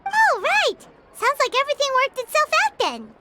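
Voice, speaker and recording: A woman's voice: very high-pitched, exaggerated voice. An actress voicing a tiny floating female companion who is chirpy, teasing and blunt, in a game scene. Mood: chatty. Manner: teasing, excitable, quick shifts. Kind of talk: game voice acting. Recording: studio voice with loud game music.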